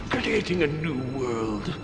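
A man's voice: a little high, exaggerated voice. An actor playing a dying megalomaniac old man in a video game, delivering a solemn, weary, grandiose monologue. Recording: reverberant hall, loud music over voice.

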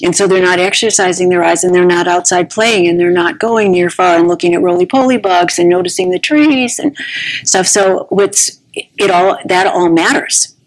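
A woman's voice: on the low side, moderate pace, rough recording. A woman in her sixties giving a conference talk at a podium, chatty and anecdotal, often restarting her sentences, on a small clip-on mic. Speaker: conference speaker. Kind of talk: conversational lecture. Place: conference room with curtained stage. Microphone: small clip-on mic at the neckline.

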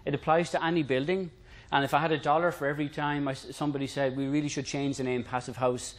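A man's voice: on the high side, flat delivery, fast. Irish man giving an informal talk. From Ireland. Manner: conversational, lightly humorous. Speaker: presenter introducing a technical concept. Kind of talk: informal lecture to a room.

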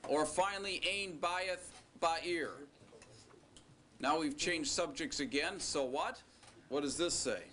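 Slow, bright-toned male teacher. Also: fairly high pitch, slight background noise. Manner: slow, deliberate, with joking asides. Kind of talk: recorded classroom lecture.

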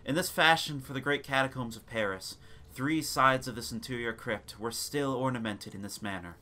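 A man reading a gothic tale as a theatrical, deliberate dramatic narration. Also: medium pitch, pushed and bright. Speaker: narrator of a gothic short story. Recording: noisy ambience sound bed. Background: background ambience and sound effects.

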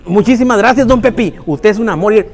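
A man's voice: sits high, a little fast, soft background music. A man playing a boastful comic contestant in a sketch, rambling and stammering, speaking into a handheld mic in a large bare hall. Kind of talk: comedy sketch.

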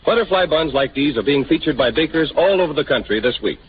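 An American man announcing and interviewing in the style of a 1930s radio sponsor pitch. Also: expressive, quick pace, a little hiss or hum. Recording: tinny band-limited 1930s radio broadcast, music.

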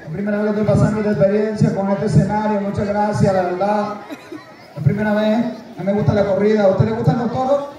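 A man's voice: high voice, flat delivery, fast, mellow. A man doing a live comic sketch, joking, teasing and breaking into silly songs. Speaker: comedian and impersonator. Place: large outdoor bullring arena. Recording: echoing arena PA from far off, crowd and music.

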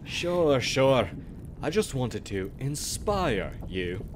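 An actor playing a cheerful, meddling male pirate crewmate in an ASMR roleplay, with a playful, drawn-out delivery. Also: medium pitch, background noise. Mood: innocent, playful. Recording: steady ambient sound effects behind voice.

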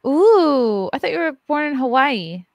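A woman's voice: high-pitched, sing-song, unhurried, no room sound. A woman in her thirties hosting a casual livestream podcast from a home studio, loose and joking, swearing freely. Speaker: podcast host. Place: home studio with neon lights. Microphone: large studio mic on arm. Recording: dry close-mic sound.

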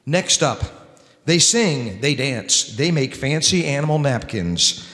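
A middle-aged man hosting a stage radio show, reading old-time sponsor pitches and announcements into a stand microphone. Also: lively intonation, slow pace, fairly bright tone. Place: stage in a wood-furnished hall. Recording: reverberant hall.

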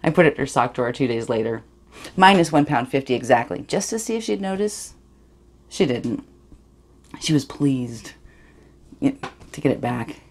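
A woman's voice: rumbling low, animated, moderate pace, long pauses, full character voice. A woman performing a dramatic monologue as a privileged, awkward eighteen-year-old girl, sly and defensive, rambling confessionally. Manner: rambling, confessional, self-justifying.

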